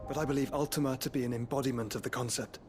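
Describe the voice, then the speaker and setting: An actor with a British accent playing an earnest, ailing young nobleman in a video game, speaking in formal, measured phrases. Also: mid-range voice, steady tone, natural voice. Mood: weary, resigned. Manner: grave, measured, formal diction. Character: ailing, earnest young nobleman, wielder of fire, devoted brother. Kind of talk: dramatic video game acting. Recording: orchestral music behind.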